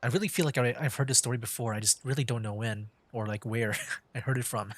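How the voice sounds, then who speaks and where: A man's voice: mid-range voice, quick pace, slight background noise. A man giving casual gaming commentary as a streamer, rambling and hesitant, close to the microphone. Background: faint game music.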